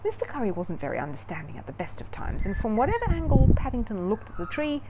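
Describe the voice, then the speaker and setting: A woman storyteller narrating a children's book in an expressive read-aloud style. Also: medium pitch, fast, heavy background noise.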